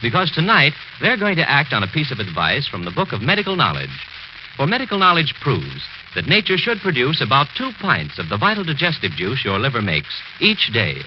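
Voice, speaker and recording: A man's voice: medium pitch, huge pitch swings, brisk, muffled recording. A man announcing a scripted 1940s radio advertisement on an old, noisy recording.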